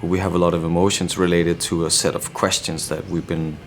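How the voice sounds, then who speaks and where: Danish guy w/ danish accent, band member in an interview, deep low voice, slow and thoughtful, hunting for words. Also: level tone, faint music behind. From Denmark. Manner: reflective, searching for words. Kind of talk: interview answer.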